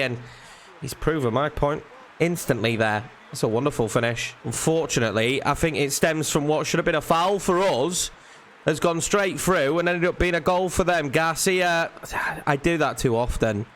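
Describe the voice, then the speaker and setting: Excitable young man commentating a football game. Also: a little high, moderate pace. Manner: excitable play-by-play, rising sharply on chances. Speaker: gaming YouTuber. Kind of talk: live commentary over a football video game.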